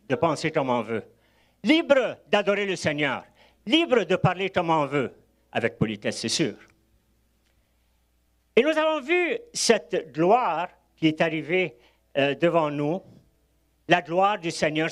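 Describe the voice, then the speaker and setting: Older man, guest preacher, high voice, lively rising and falling delivery, anecdotal sermon. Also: takes it slowly, long pauses. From Egypt. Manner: warm storytelling with asides and chuckles.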